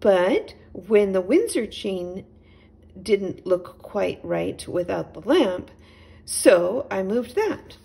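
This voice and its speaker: A woman's voice: mid-range voice, sing-song, slow pace, creaky, mellow, slightly rough, exaggerated voice. A woman voicing an anxious, fussy and very polite little male owl in a children's storybook. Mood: fretful explaining. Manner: flustered, prim, speaks in small repeated phrases.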